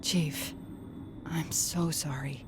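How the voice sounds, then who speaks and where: A woman's voice: low voice, steady tone, extremely slow, noisy background. A woman playing a composed, earnest female military AI companion in a video game. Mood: soft, solemn.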